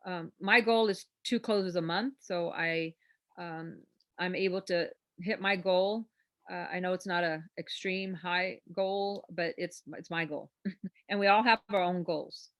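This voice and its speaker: A woman's voice: lowish voice, fairly even intonation, measured pace. A woman hosting a real estate class on a video call, coaching in a chatty, encouraging way that rambles with fillers. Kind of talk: informal coaching talk.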